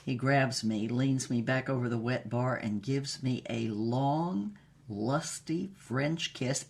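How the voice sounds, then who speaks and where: A woman playing a worldly, brassy older former starlet who tells racy memoirs in a husky voice, wryly and unhurriedly, with dramatic pauses. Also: a bass voice, full character voice. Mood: sultry, dramatic. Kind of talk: comic scripted memoir narration.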